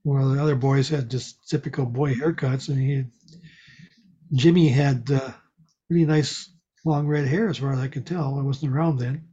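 Husky, mellow man, muffled sound. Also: mid-range voice, steady tone, normal speed. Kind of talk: casual chat, personal storytelling.

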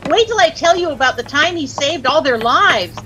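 An actress with an American accent playing a chirpy, easily dazzled young housewife who gushes and lightly scolds in a 1940s radio comedy. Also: female voice, high voice, animated, moderate pace. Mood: gushing, admiring. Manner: gushing, breathlessly admiring, lightly scolding. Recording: noisy old-time radio recording.

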